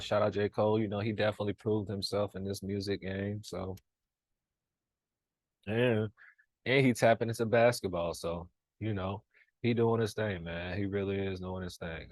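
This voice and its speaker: Chill guy w a deep voice doing a reaction vid, slangy, dry close sound. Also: dry recording. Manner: laid-back slangy chatter with fillers. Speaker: YouTube reaction host. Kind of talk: casual reaction commentary.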